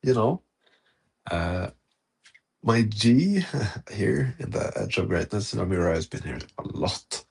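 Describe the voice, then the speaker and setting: A man's voice: lowish voice, sing-song, takes it slowly, gravelly, long pauses, darkish tone, husky. A man hosting a casual live audio-room podcast chat, laid-back and chuckling between phrases. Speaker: podcast host.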